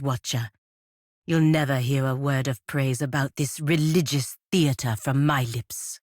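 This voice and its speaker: A woman's voice: low-pitched, dry recording, clean studio sound. A woman playing a proud, impatient godlike warrior in a video game, with a sardonic, cutting manner. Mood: dismissive. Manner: disdainful, sardonic, clipped and cutting. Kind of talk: video game character dialogue.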